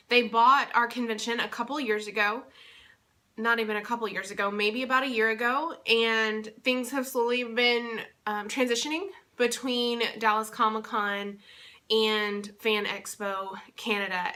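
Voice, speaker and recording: A woman's voice: fairly high pitch, sharp tone. A young woman giving a casual vlog update, congested and still recovering from losing her voice, chatty and rambling with many fillers. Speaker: vlogger and convention interpreter.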